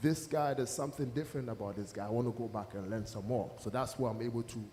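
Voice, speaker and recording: A man's voice: medium pitch, quick pace, dark tone. A man from Ghana speaking as a panelist, telling stories earnestly. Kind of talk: panel discussion. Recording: long hall reverb, faint noise.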